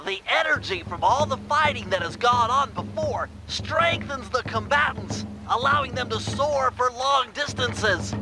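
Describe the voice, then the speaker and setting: Bentley, a very high-voiced brainy sidekick. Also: male voice, animated, a little slow, hardly any pauses, poor audio. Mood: helpful, analytical. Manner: precise, bookish, explanatory. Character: brainy, earnest male animal sidekick who plans the heists. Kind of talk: video game character acting. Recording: prominent music behind the voice.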